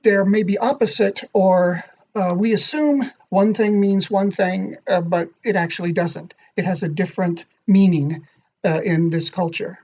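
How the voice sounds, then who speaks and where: Male podcast guest, author; calm, explanatory, even delivery. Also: sits high, lo-fi sound. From not Thai. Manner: measured, explanatory, thoughtful. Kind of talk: podcast interview.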